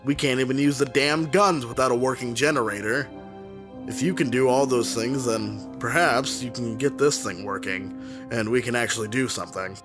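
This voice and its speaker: A man's voice: mid-range voice, moderate pace, lightly acted. A man playing a decisive, plain-spoken navy captain in an audio drama. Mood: earnest, frustrated. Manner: blunt, commanding. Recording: music behind the voice.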